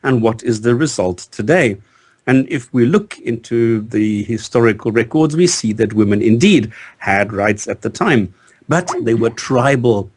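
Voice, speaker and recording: A man's voice: medium pitch, lively intonation, measured pace. A man on a discussion panel making an explanatory argument, laying out points and questions in turn.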